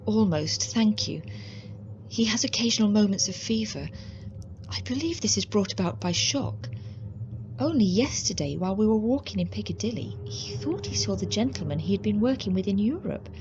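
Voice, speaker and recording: An actress playing a worried, devoted young schoolteacher wife in a radio drama, proper and earnest in manner. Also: female voice, medium pitch, lively intonation, moderate pace, natural voice. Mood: polite, worried. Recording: dull sound with faint background noise.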